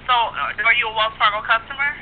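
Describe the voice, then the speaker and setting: A woman talks briskly as a call center agent in a high voice. She is on a telephone call, with faint noise on the line. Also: fairly even intonation. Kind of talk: customer service phone talk.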